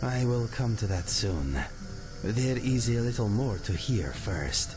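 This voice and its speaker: An actor playing a friendly, reflective cat-man companion in a fantasy video game, speaking in measured, wistful, expressive phrases. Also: mid-range voice. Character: male cat-folk adventurer companion, wry, reflective, friendly. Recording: music under the voice.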